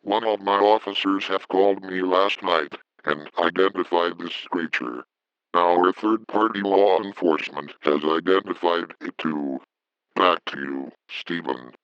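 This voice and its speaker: A man acting as a male police chief with a deep, smooth voice, giving an official statement. It comes through a thin telephone line. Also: unhurried, a bit bright, no room sound. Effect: phone line. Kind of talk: scripted phone-in statement. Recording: tinny phone line.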